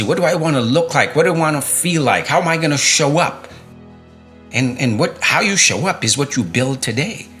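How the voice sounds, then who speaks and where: A man's voice: on the high side, a bit bright. A middle-aged man coaching viewers on fitness and mindset in an emphatic motivational talk, repeating key phrases and asking rhetorical questions.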